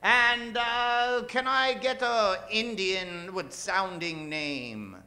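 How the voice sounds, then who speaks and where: A man's voice: sits high, extremely slow, pushed and bright. A man hosting a live comedy radio show from a theater stage, bantering with the audience and drawing out names in a theatrical announcer style.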